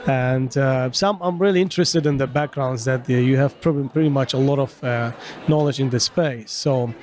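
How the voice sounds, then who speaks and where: A man hosting an informal interview at a festival, asking long, halting questions in non-standard English. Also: medium pitch, a little mellow. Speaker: podcast host, interviewer. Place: festival venue.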